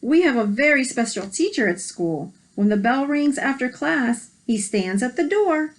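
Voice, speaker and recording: A woman's voice: high-pitched, lively intonation, moderate pace, poor audio. A woman co-hosting a read-aloud, reading a picture book expressively with short cooing reactions.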